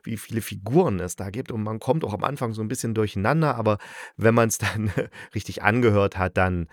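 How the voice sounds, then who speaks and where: A man's voice: lowish voice, brisk, no room sound, studio quality. A man giving a casual spoken review as a reviewer, talking in an enthusiastic, informal and rambling way. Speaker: radio play reviewer.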